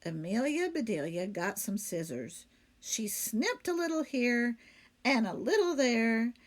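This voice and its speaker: Female storyteller, teacher type, unhurried and very animated, engaging children with questions as she reads. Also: a little high. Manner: asks the young listeners questions. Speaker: teacher reading aloud. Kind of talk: expressive storybook narration for children.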